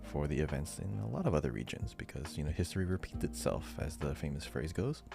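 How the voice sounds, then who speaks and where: A man hosting a casual quiz podcast, reading out questions deliberately and repeating them. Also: rumbling low, expressive, creaky, music in the background.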